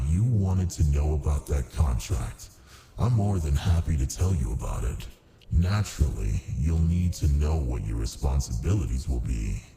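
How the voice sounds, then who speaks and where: A man playing a massive ancient male demon in an ASMR roleplay, smug and amused, with a smooth, politely menacing, domineering way of talking. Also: a bass voice, dark tone, slight background noise, heavily acted. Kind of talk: ASMR roleplay, close and unhurried.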